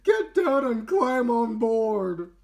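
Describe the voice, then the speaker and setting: A man's voice: very high-pitched, very animated, takes it slowly, darkish tone, voice pushed up, exaggerated voice. A man voicing a feisty, brave young female ox calf in a storybook, with urgent exclamations. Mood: urgent, exclamatory.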